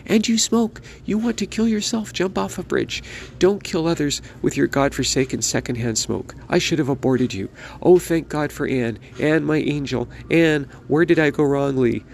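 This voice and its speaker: A gravelly, overbearing old mother, as a male narrator puts her on in a spoken story. She scolds and threatens her grown son with melodramatic fury, and her voice is raised only a little over the reader's own. Faint noise sits under the voice. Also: medium pitch, normal speed, darkish tone, pitched above the performer's own voice, natural voice. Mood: shrieking. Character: bitter, domineering elderly mother.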